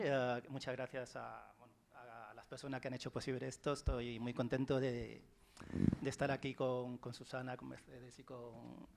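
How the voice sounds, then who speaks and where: A man in his forties from China, whose first language is Wenzhounese, talking on a panel as a writer, chatty, with frequent restarts. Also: mid-range voice. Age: 40s. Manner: warm, chatty, laughs often, many restarts. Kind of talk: panel talk.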